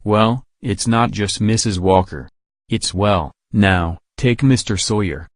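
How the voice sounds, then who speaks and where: Man doing kindly stubborn old santa in a radio play, lowish voice, dry close sound. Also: takes it slowly, no room sound. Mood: hurt, indignant. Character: kindly, stubborn old Santa Claus, hurt but trusting. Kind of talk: old radio drama acting.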